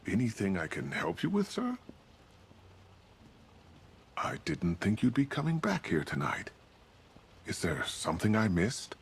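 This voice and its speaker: A polite male employee in a video game drama. He has a low, lively voice with a courteous, inquiring manner, over faint rain. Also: a little slow, pauses often, a little hiss or hum, full character voice. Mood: courteous, curious. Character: polite, attentive male employee addressing his boss.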